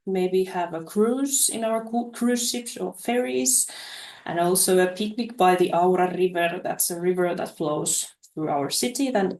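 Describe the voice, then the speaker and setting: Woman, webinar presenter, hesitant, lots of fillers, mid pitch, echoey room. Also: darkish tone. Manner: hesitant, many uh fillers and restarts. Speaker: study promotion presenter.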